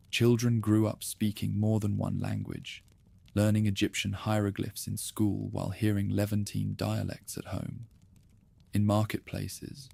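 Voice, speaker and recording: A man narrating history in a calm, slow, soothing sleep-story style. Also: on the low side, gravelly, husky, dry recording. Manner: slow, soothing, measured delivery.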